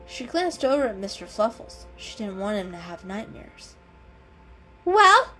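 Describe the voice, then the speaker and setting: A woman's voice: mid-range voice, expressive, normal speed, low-quality recording. A woman narrator giving a dramatic storybook reading. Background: music.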